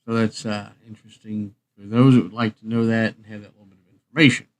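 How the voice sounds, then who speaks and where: A man's voice: fairly low pitch, level tone, quick pace. A man hosting a music livestream, chatting casually in a rambling, meandering way.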